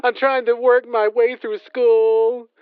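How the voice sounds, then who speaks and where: A woman's voice: on the high side, little pitch movement, takes it slowly, clear, resonant tone, no room sound, phone-quality sound. A woman playing a new young pizza clerk who keeps upselling in a children's comedy skit. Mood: flustered. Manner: chirpy upselling, flustered.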